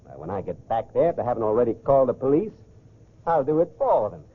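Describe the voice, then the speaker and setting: A man's voice: mid-range voice, sing-song, quick pace, breathy edge. Ruthless, boastful holdup man; commanding, scheming, 1940s radio crime acting, dull vintage recording. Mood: smug, hurried. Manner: barking, commanding, threatening. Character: ruthless, boastful gentleman stickup robber, scheming and menacing.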